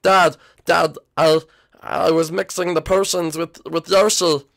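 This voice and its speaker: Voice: medium pitch, steady tone, unhurried, gravelly, fairly bright tone, no room sound, studio quality. A performer voicing a young male prince who pleads and explains, hesitant and full of fillers, in a homemade toy show. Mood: sheepish. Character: young male turtle-dragon prince, the villain's son.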